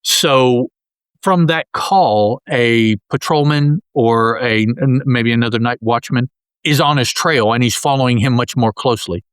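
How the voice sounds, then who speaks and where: A man's voice: mid-range voice, unhurried, clean tone, dry recording. Rambling male podcast co-host. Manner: rambling, restarts a lot while describing panels. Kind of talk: casual comic-book discussion.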